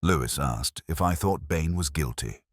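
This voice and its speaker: A man narrating a first-person detective story in a measured, literary and solemn manner. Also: extremely low pitch, breathy edge, no echo, clean studio sound.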